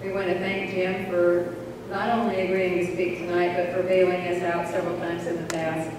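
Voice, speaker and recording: A woman's voice: fairly low pitch, little pitch movement, normal speed. An older woman, vice president of a local society, reading an earnest introduction from her notes. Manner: reads from notes, plain and earnest. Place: large school auditorium. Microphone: handheld mic, recorded from a far camera. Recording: echoey hall from far off, audible noise.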